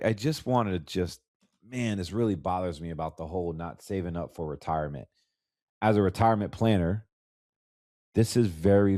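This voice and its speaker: A man's voice: sits low, dark tone, no echo, clean studio sound. An American man with a stuffy, congested voice, hosting a conversational podcast as a financial advisor and repeating words for emphasis. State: congested from allergies. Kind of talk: solo podcast commentary, conversational.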